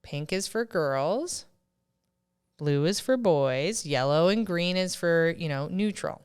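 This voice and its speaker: A woman in her thirties co-hosting a podcast in casual, emphatic chat, quick to push back. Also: lowish voice, expressive, darkish tone, smooth. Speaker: podcast co-host.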